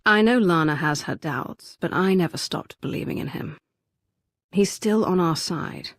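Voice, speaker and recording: A woman playing a loyal, resolute commander in a sci-fi video game, firm and earnest, with a husky voice. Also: fairly low pitch, a little slow, no echo, clean studio sound. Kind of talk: dramatic video game acting.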